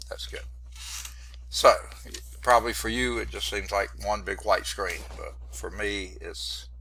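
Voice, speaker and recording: A hoarse, congested man teaching a math class over a video call, narrating his screen setup in a rambling, self-deprecating way. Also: on the low side, sharp tone, a little hiss or hum. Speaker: math instructor. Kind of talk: online class lecture. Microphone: computer mic on a video call.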